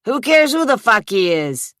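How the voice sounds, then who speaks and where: Storybook crook: woman reader playing a rough, cursing hired thug, pitched higher, loud, dry close read. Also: sing-song, measured pace, no echo. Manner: coarse, swearing. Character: gruff male thug, a hired knife man.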